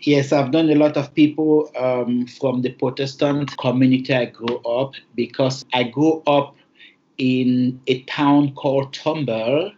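A man from Cameroon with a Cameroonian English accent, a native Lamso speaker, answering interview questions in careful, formal phrasing with thoughtful pauses. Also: mid-range voice, fairly even intonation, darkish tone, dull audio. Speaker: interview guest.

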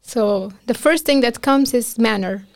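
A woman's voice: on the high side, a little slow, vocal fry, dry recording. Earnest Afghan-accented woman, dry sound. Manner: earnest, emotional storytelling with quoted speech and laughter. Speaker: guest, physician. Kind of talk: casual interview conversation.

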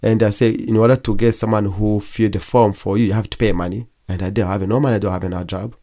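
Low-voiced young man, halting testimony. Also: steady tone, dark tone. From Democratic Republic of Congo. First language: Kigogwe. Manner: halting, with frequent pauses and restarts. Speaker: guest. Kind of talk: personal testimony in an interview.

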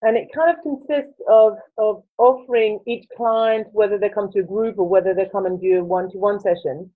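A woman's voice: mid-range voice, fairly even intonation, moderate pace. A French woman in her forties with a French accent, answering interview questions thoughtfully and searching for words as she goes. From France. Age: 40s. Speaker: guest, coach. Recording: tinny phone-like line.

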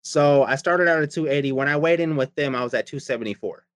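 A man's voice: a little high, fast talker, dry recording. A man chatting casually about his diet and workouts, rambling and profane, often repeating himself. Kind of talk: casual chat.

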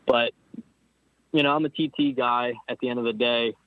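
A man's voice: medium pitch, steady tone, moderate pace, no echo. A nineteen-year-old man from Pennsylvania, a racer, chatting casually in an interview and rambling with frequent restarts. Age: young adult. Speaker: guest, motorcycle racer. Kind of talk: casual interview over the phone. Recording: dry, tinny phone line.

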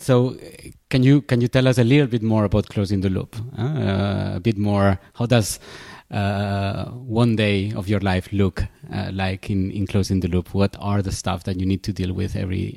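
A man with a low, mellow voice hosts a podcast, talking in an unhurried way full of small pauses and fillers. The room is echoey, with faint music underneath. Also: fairly even intonation, vocal fry. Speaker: podcast host. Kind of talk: podcast interview.

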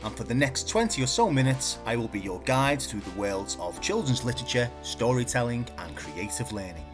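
A man hosting a podcast interview, asking polite questions in a measured, slow way. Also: mid-range voice, big pitch swings, music in the background. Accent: not Scottish.

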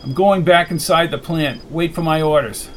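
A man voicing a commanding male leader in an audiobook, worried for his friend, terse and forceful. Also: on the high side, normal speed, a little hiss or hum, lightly acted.

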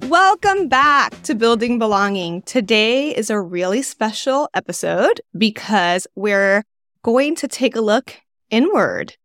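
A woman's voice: mid-range voice, dry recording, studio quality. A woman hosting a podcast as the office's executive director, opening the episode with enthusiasm. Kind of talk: scripted podcast introduction.

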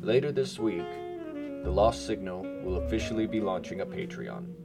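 A man reading scripted narration and announcements as a podcast host, in a formal, measured way. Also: low-pitched, gravelly, over loud music.

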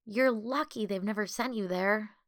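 A woman's voice: medium pitch, moderate pace, no room sound, clean studio sound, natural voice. A woman voicing a frightened, cautious young boy in a storybook narration. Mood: fearful. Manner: cautious, hushed warnings.

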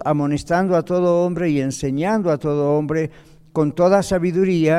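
A man's voice: on the high side, warm tone, clean tone. Man teaching a Bible class in a reverberant hall. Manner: conversational, checks in with "¿ok?". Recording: long reverb of a church hall.

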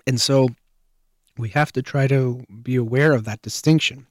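A man hosting his own show, calmly explaining parenting ideas through everyday analogies. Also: mid-range voice, moderate pace, no echo, studio quality. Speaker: show host. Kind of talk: parenting advice monologue.

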